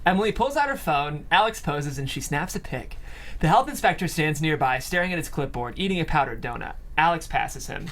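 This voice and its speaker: Woman narrating script directions at a comedy table read, very up and down pitch. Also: expressive, brisk, fairly bright tone. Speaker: stage direction reader.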